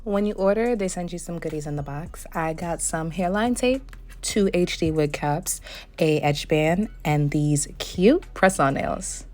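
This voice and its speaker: A young woman with a slightly hoarse voice, still recovering, walking through a wig review and install step by step. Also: fairly low pitch, measured pace, faint music behind. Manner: step-by-step explaining, relaxed. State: hoarse, recovering from losing her voice. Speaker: beauty vlogger reviewing a wig. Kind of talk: product review voice-over.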